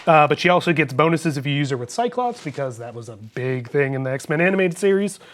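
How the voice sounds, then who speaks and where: A man's voice: a little high, animated, quick pace, noisy background. A man co-hosting a hobby show, explaining game rules in a casual, informal and appreciative way.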